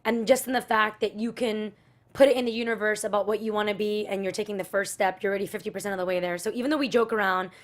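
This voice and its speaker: A woman's voice: mid-range voice, fairly even intonation, fast talker. A woman hosting a casual talk show, chatty and rambling as she leads each segment. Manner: chatty, rambling, many fillers. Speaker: host. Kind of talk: casual chat, leading the segments.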